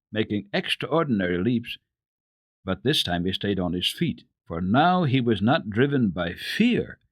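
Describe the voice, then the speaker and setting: A man reading a children's story aloud as a radio host, in a deliberate, expressive storytelling manner. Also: lowish voice, husky, dry recording, clean studio sound. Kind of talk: dramatic storybook narration.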